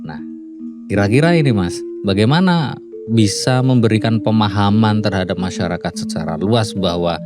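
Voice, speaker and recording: A man hosting a radio talk show. He asks long, winding questions in a relaxed chatting manner. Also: lowish voice, moderate pace, music in the background. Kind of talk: casual interview chat. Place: radio studio.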